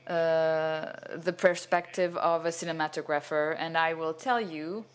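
Older man, halting lecture, fillers, stand mic on a cinema stage. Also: on the high side, steady tone, clean tone. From Venezuela. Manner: hesitant, many fillers and restarts, gestures broadly. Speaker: cinematographer giving a masterclass. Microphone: stand microphone.

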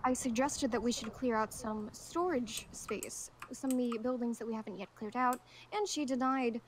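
A woman's voice: fairly high pitch, normal speed, slight background noise, close to an everyday voice. A woman playing a stern, argumentative survivor with formal, clipped speech in a game roleplay. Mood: insistent. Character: stern, defiant young female zombie-apocalypse survivor challenging the leader.

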